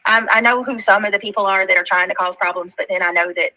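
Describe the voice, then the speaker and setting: Southern woman streamer, bright and halting. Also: medium pitch, fairly even intonation, rough recording. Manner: halting, hurt, defensive, many fillers. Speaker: livestreamer. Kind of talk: casual livestream talk.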